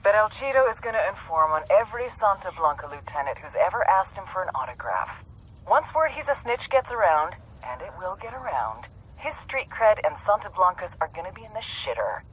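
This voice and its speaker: A woman's voice: medium pitch, very animated, brisk, a bit bright, husky, lo-fi sound. A woman playing a gleeful, foul-mouthed intelligence agent, wry and swaggering, in a video game. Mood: smug.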